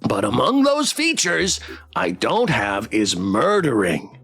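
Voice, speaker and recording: A woman voicing a smug, indignant little wellness plush toy in a visual novel, boasting like a sales pitch. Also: wildly swooping pitch, takes it slowly, fairly bright tone, slightly rough, faint music behind, heavily acted. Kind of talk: visual novel character voice read aloud.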